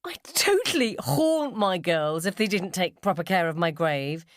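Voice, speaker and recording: A woman's voice: on the low side, lively intonation, unhurried, no room sound, clean studio sound. A woman voicing a domineering, self-righteous school mum in a story, emphatic and judgemental. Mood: forced brightness. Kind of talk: short story character dialogue.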